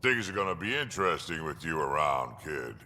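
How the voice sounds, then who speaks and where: An actor playing a gruff, weathered old alien mercenary in a video game, blunt and world-weary, with a gravelly voice. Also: male voice, very deep voice, very animated, a little fast, bright, forceful tone, exaggerated voice. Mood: wry, approving. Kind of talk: video game dialogue acting. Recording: reverberant hall, clearly noisy background.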